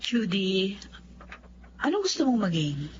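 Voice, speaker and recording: A woman's voice: mid-range voice, very animated, takes it slowly, dull audio, a little hiss or hum. An actress playing a kindly, encouraging Filipino woman attorney in a radio drama. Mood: gently probing.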